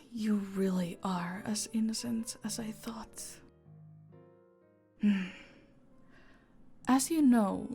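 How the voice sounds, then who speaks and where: A woman playing a calm, dominant female mafia boss in an audio roleplay, teasing and deliberate, often slipping into a breathy whisper. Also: mid-range voice. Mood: mocking, amused. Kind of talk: roleplay audio acting, intimate and slow. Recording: music behind the voice.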